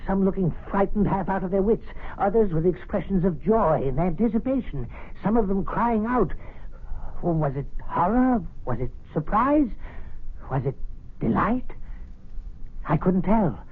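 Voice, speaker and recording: An actor playing a doddering, deferential old club steward in a radio drama, hesitant and flustered. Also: male voice, high voice, animated, measured pace, slightly rough, full character voice. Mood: bewildered. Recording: tinny old radio broadcast.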